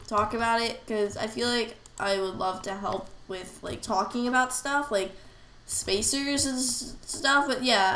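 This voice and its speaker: A woman's voice: on the high side, bright, forceful tone. A teenage girl chatting casually while playing a game, rambling with lots of fillers. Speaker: gameplay commentator. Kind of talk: casual gameplay chat. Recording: echoey room, faint hiss. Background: keyboard clicks and faint noise.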